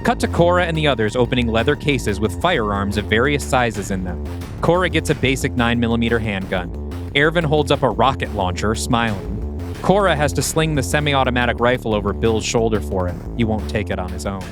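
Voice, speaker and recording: A man's voice: mid-range voice, expressive, fairly quick, music in the background. A man hosting a podcast, reading scripted scene directions as the narrator of an audio play.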